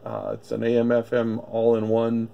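A man casually demonstrating a product unscripted, thinking aloud in a halting way. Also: medium pitch, monotone, gravelly, muffled recording. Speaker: gadget reviewer. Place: indoor electronics workbench.

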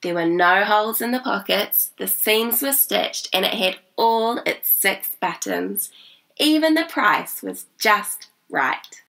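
A woman, reading a children's story aloud in an animated, smiling way. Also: mid-range voice, slow, vocal fry, rough recording. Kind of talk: expressive storybook read-aloud. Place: small indoor reading room.

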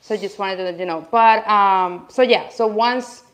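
A young woman co-hosting a live studio demo, reading viewer questions in a friendly, relaxed way. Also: medium pitch, unhurried. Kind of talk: casual live demo chat. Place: indoor studio.

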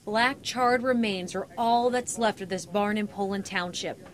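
A woman's voice: medium pitch, measured pace. An American woman in her thirties giving a television news report from the field. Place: outdoors by farm fields. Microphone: handheld reporter microphone. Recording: light outdoor background noise.

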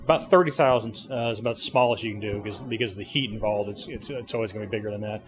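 A middle-aged man giving an informal technical explanation in interview answers, plain-spoken and pausing as he goes. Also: fairly low pitch. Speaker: service bureau co-owner explaining his machine. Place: indoor 3D printing workshop. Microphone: handheld interview mic held by interviewer. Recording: clearly noisy machine-room ambience.

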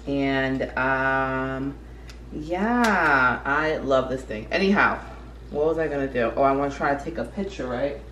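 A woman's voice: extremely low pitch, a little slow, fairly bright tone. A young woman chatting casually as a vlogger in her apartment, rambling and correcting herself as she goes. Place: apartment kitchen and living room. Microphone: camera mic from a few feet away. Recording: echoey room, faint room noise.

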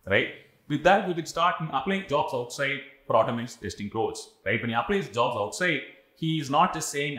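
A man in his forties with an Indian English accent, giving career advice to camera. He talks quickly and keeps adding "right?" to his points. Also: mid-range voice, a bit bright, echoey room. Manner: fast, adds frequent "right?" tags. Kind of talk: explanatory talk to camera. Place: studio set styled as a cafe.

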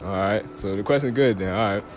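A man's voice: lowish voice, fast talker, over loud music. A man in his late teens chatting and joking in slang as he hosts casual street interviews in a mall. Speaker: vlog host and street interviewer. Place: shopping mall and stores.

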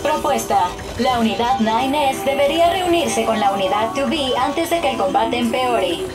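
A formal robotic support drone voiced by a woman speaks Latin American Spanish in a video game. The detached voice is slightly high, with loud music behind it. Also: expressive, normal speed, close to an everyday voice.

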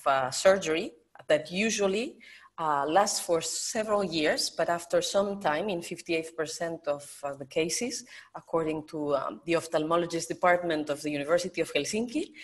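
Spanish-accented woman on a video call. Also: fairly low pitch. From Spain. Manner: earnest, explanatory, with hesitant fillers. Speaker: guest expert. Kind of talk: online summit interview.

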